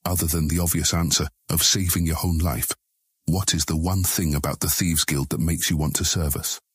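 An actor playing an ominous, blunt former knight questioning someone in a fantasy audiobook. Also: male voice, a bass voice, steady tone, moderate pace, husky, dry recording, clean studio sound, exaggerated voice. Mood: probing. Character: ominous male former knight turned guard, blunt, probing. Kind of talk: dramatised fantasy audiobook acting.

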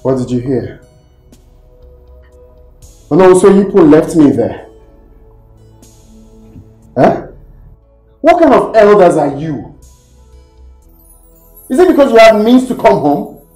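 A woman's voice: on the low side, sing-song, a little fast, a little mellow, soft background music. A woman with a Nigerian accent playing an indignant villager who scolds and argues back in a film drama. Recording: roomy echoing film sound.

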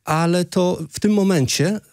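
A man's voice: high voice, unhurried, darkish tone, no echo, studio quality. A man in his thirties hosting a political radio interview, pressing his guest with challenging questions. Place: small radio studio, indoors. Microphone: close desk microphone.

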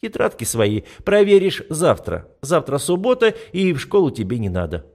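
Man as brash young woman, raised pitch, teasing, pushy, categorical, audiobook dialogue. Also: wildly swooping pitch, moderate pace, a little mellow, heavily acted. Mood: bossy.

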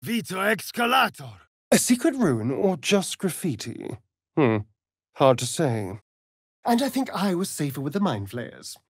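A man's voice: fairly high pitch, sing-song, measured pace, no room sound, heavily acted. A mocking vampire elf rogue for a fantasy game, speaking in an English accent with swooping, theatrical intonation. He takes his time over each phrase, languid, vain and teasing. The sound is dry and close, with no room on it. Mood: dry, wry.

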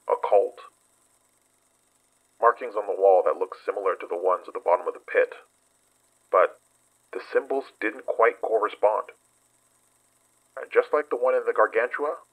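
Husky, low-voiced weary investigator. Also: male voice, a bit bright, low-quality recording. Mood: grave. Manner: halting, hesitant recounting. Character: weary male investigator recounting a dangerous excursion. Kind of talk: horror audio drama acting.

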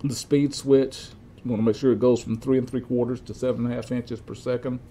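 Older male, gravelly, relaxed delivery; halting, methodical how-to explainer, informal, with some background room noise. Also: medium pitch. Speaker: repair technician demonstrating equipment checks. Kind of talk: informal instructional demonstration. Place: cluttered indoor electronics workshop.

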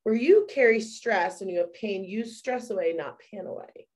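A woman's voice: mid-range voice, animated, normal speed, darkish tone. A woman, a mother, casually presenting products from her bathroom at home, chatty and full of fillers. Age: young adult or middle-aged mother. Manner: chatty, many fillers, addresses viewers as "you guys". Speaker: essential oil presenter.

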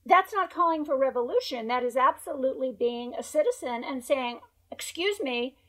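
A hoarse woman who has lost her voice, speaking emphatically as a researcher guest on a video call interview, through earbuds. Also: sits high, expressive, normal speed, poor audio. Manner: emphatic, repeats points for stress. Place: bright room at home by a window. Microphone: wired earbuds on a video call.

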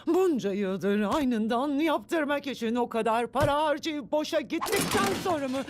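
A woman's voice: sits high, lively intonation, normal speed, background noise. A woman playing a greedy, scheming older villainess in a dubbed children's cartoon, theatrical and gloating. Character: greedy, scheming grandmother villainess obsessed with power. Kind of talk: animated children's cartoon dub. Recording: loud music behind the voice.

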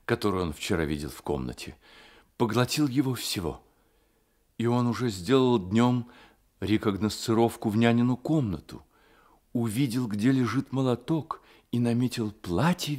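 Man narrating a literary story for radio drama, lowish voice.

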